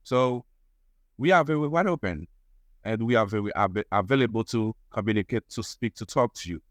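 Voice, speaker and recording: A man whose first language is French, answering interview questions in halting English as he searches for words. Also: on the low side, dry recording. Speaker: guest, association vice president.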